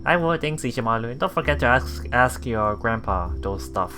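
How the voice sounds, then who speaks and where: A man reading a visual novel aloud with casual commentary, halting and often repeating himself, with music behind him. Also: mid-range voice, expressive. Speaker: let's player.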